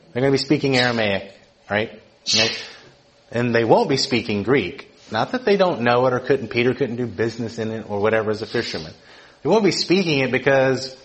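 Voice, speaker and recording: A middle-aged man from Georgia, preaching a casual teaching sermon with frequent "right?" check-ins to the room. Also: mid-range voice. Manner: conversational, keeps asking "right?" to the room.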